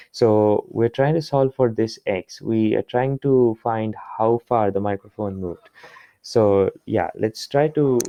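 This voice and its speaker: A man working through a problem step by step as a tutor, in a halting way with pauses and repeats. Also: on the low side, mellow, clear, resonant tone, no echo. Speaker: physics tutor. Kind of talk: explaining a worked problem step by step. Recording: dull, muffled lo-fi close recording.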